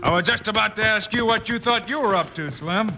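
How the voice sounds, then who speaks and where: Heroic cowboy lawman, fairly high voice, American accent, firm, brisk, noisy old-time radio recording.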